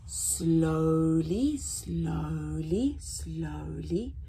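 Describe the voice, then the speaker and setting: A young woman hosting a children's story time, reading aloud in an animated, deliberate way with drawn-out emphasis. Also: low voice, vocal fry, slight background noise. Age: young adult. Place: small indoor room with painted backdrop.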